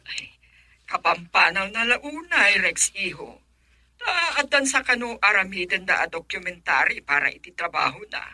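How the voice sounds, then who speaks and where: Middle-aged radio drama auntie, actress, chatty, piercingly bright tone, close dry recording. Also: female voice, mid-range voice, big pitch swings, normal speed, no room sound, heavily acted. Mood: chatty, resigned. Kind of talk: Ilocano radio drama acting.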